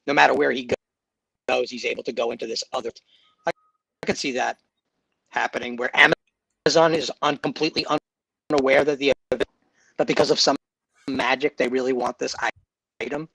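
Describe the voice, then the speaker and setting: A man chatting as a guest on an online show, speaking haltingly and often restarting his sentences. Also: medium pitch, steady tone, creaky, sharp tone, breathy edge, dry recording, poor audio. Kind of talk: casual chat.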